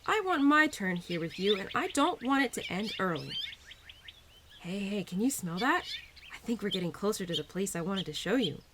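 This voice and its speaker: Spring spirit, female, played by a woman: playful, nurturing, teasing, lively swooping intonation, audio drama intimacy over faint birdsong and crickets. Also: medium pitch, moderate pace, noisy background, natural voice. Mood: warm, excited. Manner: bubbly, affectionate, gently teasing, expressive. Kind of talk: roleplay audio drama addressed to the listener.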